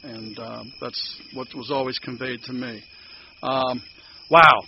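An older man with a Pittsburgh accent sharing his story at a recovery meeting, rambling and halting with many fillers and restarts. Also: medium pitch, steady tone. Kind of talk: personal sharing at a support meeting. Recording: dull lo-fi recording with background noise.

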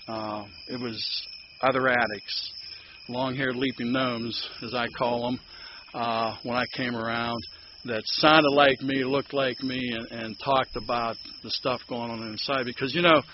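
An older man with a Pittsburgh accent sharing his story at a recovery meeting, rambling and halting with many fillers and restarts. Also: mid-range voice. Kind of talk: personal sharing at a support meeting. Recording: dull lo-fi recording with background noise.

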